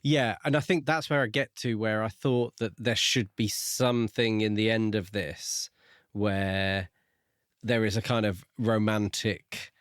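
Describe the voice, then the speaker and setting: A man co-hosting a casual book discussion, reasoning things through in a measured, tentative way. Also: on the low side, fairly even intonation, fairly bright tone, dry recording, studio quality. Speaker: podcast co-host.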